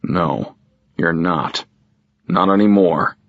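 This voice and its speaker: A man's voice: sits low, takes it slowly, creaky, no echo, heavily acted. A man voicing a harsh, furious middle-aged father who speaks sternly and condescendingly, in a dull, dry recording.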